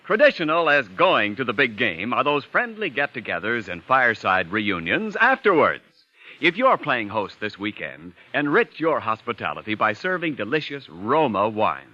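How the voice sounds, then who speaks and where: A man delivering a polished, scripted sales pitch as a 1940s radio commercial announcer. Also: big pitch swings, normal speed, sharp tone. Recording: dull old radio recording.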